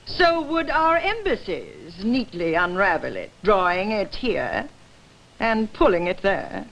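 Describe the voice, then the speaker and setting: A woman performs a proud Greek heroine of classical stage drama, persuasive and emphatic. She declaims her verse slowly and precisely, with a broad melodic sweep in a middle-pitched voice. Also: big pitch swings, a bit bright, heavily acted, rough recording. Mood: assured.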